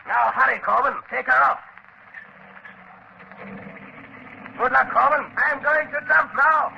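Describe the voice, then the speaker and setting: An actor playing a ruthless, smugly cunning saboteur boss in theatrical 1930s radio drama style. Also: male voice, very high-pitched, normal speed, vocal fry, heavily acted. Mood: urgent. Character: ruthless, commanding male saboteur spy chief, smugly cunning. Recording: muffled, noisy 1939 radio broadcast.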